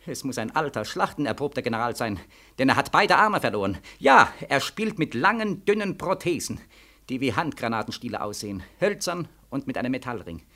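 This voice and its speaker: A feverish, haunted war veteran. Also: male voice, mid-range voice, big pitch swings, brisk, bright, forceful tone. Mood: haunted, intense. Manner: feverish, sardonic, repetitive, swinging from weary murmur to anguished cries. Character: bitter, sleepless, haunted young returning war veteran. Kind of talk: 1940s German radio drama acting.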